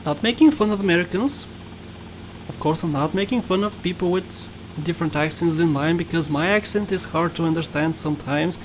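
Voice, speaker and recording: A man with a Bulgarian accent narrates his gameplay in a loose, chatty way, laughing often and teasing himself. His voice sits high for a man. A faint hiss runs under the recording. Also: brisk. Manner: chatty, laughs often, playful self-mocking. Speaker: gaming YouTuber. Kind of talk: casual gameplay commentary.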